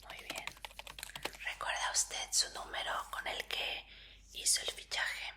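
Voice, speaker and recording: A woman in an ASMR roleplay as a medical examiner, speaking in a breathy whisper with a gentle, polite, reassuring manner. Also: very high voice, completely flat delivery, slow.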